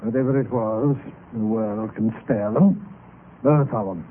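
A man playing an earnest gentleman companion in an old radio mystery, speaking emphatically and theatrically. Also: on the low side, measured pace, lightly acted. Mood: urgent, grim. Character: earnest, dramatic gentleman friend of the narrator. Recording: muffled, noisy old radio recording.

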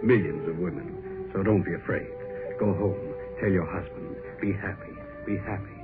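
Middle-aged doctor, played by a male actor, kindly, reassuring, measured; 1930s radio melodrama, thin boxy sound with music. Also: low voice, natural voice. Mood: soothing. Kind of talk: 1930s radio drama acting. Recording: muffled vintage radio, music behind.

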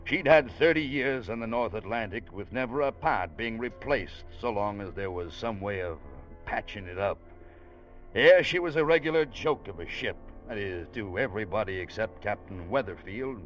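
An actor playing a wry, easygoing ship's officer, narrating in a folksy, conversational way in an old radio drama. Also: male voice, lowish voice, expressive, normal speed, a bit bright, faint music behind. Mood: wry, reminiscing. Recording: dull vintage radio recording.

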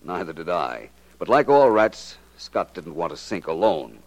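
An actor playing a confident, clever detective in a 1940s radio drama, with an assured, explanatory manner. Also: male voice, low-pitched, very animated, unhurried, no echo, exaggerated voice. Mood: wry, reflective.

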